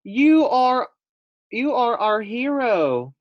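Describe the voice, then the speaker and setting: Excited storybook guinea pigs voiced by a man, squeaky and pitched way up, full of hero worship. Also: extremely slow, no room sound, dull audio, exaggerated voice. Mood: adoring, excited. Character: excited, adoring crowd of little guinea pigs. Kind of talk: children's storybook read-aloud.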